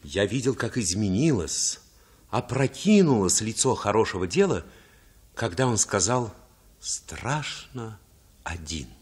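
An actor narrating boyhood memories in a radio drama as a reflective man, husky and expressive, with literary phrasing. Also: lowish voice, heavily acted. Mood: reflective, tender.

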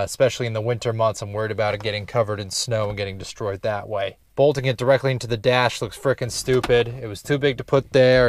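A man giving casual, hands-on vlog commentary with dry, sarcastic humour and the odd swear word. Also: medium pitch. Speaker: vlogger doing a car installation. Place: in and around a parked car in a driveway.

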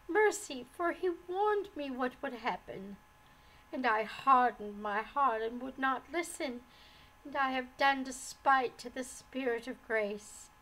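A woman's voice: high-pitched, dark tone, rough recording. A woman voicing a heartbroken, despairing wife and mother in a storybook, with a trembling, pleading, sobbing delivery. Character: heartbroken, despairing, unhappily married young mother.

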